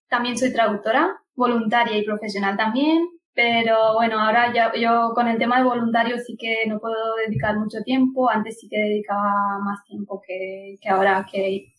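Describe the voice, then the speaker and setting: A woman's voice: on the high side, far from the mic, low-quality recording. A woman from Pakistan whose first language is Punjabi, a podcast guest chatting casually with hesitant restarts and laughter. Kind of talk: casual conversation.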